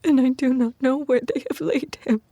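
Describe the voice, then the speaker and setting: A woman's voice: sits high, fairly even intonation, moderate pace, mellow, no room sound. A woman playing a devoted, grieving female follower in a biblical audio drama, emotional and reverent.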